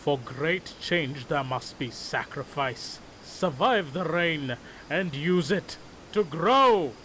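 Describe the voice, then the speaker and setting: An actor playing a fanatical, composed male villain in an audio drama, speaking in grandiose, deliberate speeches. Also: on the high side, animated, fairly bright tone, slight background noise.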